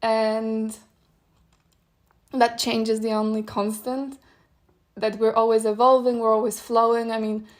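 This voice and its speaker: A young woman whose first language is Polish, hosting a solo podcast and reflecting aloud in a thoughtful, meandering way with soft laughs. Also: fairly high pitch, little pitch movement, unhurried, long pauses. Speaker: podcast host.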